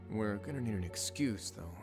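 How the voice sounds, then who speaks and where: A man playing a composed, authoritative duke who runs a prison in a video game, speaking in a measured, formal way. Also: lowish voice, lively intonation, over music. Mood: wary, dry.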